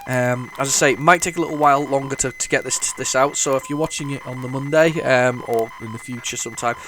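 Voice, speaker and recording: A man hosting a retro gaming video, talking casually while loading old tapes, with a head cold and a rambling, restart-filled way of talking that jumps into excited bursts. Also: medium pitch, fast talker, a little hiss or hum. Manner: rambling, chatty, lots of restarts and sudden excited outbursts. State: has a head cold, sniffly.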